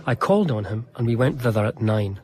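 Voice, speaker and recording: An actor playing an eager, earnest young eighteenth-century gentleman diarist in a radio drama, speaking in a formal, confiding, literary way. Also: lowish voice, expressive, brisk, close to an everyday voice. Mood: confiding, pleased. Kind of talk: radio drama narration and dialogue.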